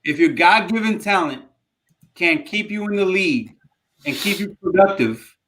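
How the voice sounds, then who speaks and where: A man's voice: high-pitched, animated, normal speed, a bit bright, a little hiss or hum. A man co-hosting a casual sports podcast, talking bluntly and emphatically with plenty of swearing. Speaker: podcast co-host.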